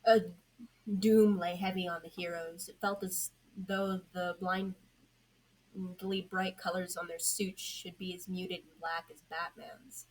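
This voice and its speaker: A woman's voice: on the low side, little pitch movement, takes it slowly. A woman reading scripted fiction aloud as an amateur audio story narrator. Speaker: podfic narrator. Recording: noisy amateur home recording.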